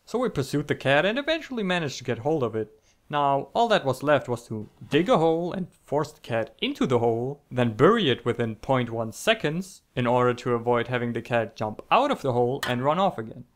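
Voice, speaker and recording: A man's voice: mid-range voice, big pitch swings, fairly quick. A young man narrating scripted comic stories in a theatrical, mock-epic manner, with self-deprecating asides. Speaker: online storyteller.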